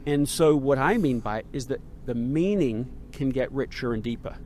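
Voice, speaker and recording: A man hosting a podcast interview, talking in a halting way with many repeated starts as he reflects and builds ideas aloud. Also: medium pitch, animated, a little mellow, a little hiss or hum. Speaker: podcast host. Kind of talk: conversational interview.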